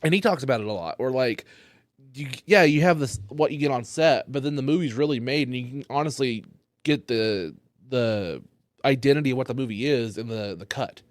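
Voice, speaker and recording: A man, a stand-up comedian, chatting loosely on a podcast while high, rambling and cursing often. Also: lively intonation, a little fast, no echo, studio quality. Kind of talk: casual podcast chat.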